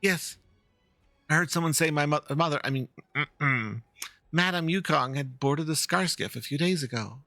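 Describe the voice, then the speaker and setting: A man voicing an earnest, worried young fox-girl in halting, polite speech, reading out video game dialogue. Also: a little high, pushed and bright, dry recording, faint music behind.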